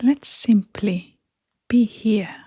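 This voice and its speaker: A woman leading a guided meditation in a gentle, hushed, breathy voice, leaving long pauses between short phrases. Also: medium pitch, dry recording, studio quality. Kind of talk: guided meditation, soft-spoken.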